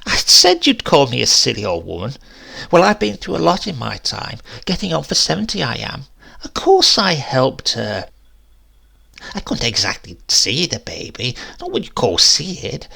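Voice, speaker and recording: Storybook old landlady, played by a man: gossipy, defensive, confiding, crackly and hoarse-edged. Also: a little high, sharp tone, full character voice. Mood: tender, self-justifying. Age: older. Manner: gossipy, colloquial, rambling, confiding. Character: chatty, defensive old landlady near seventy. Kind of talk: dramatized horror story reading.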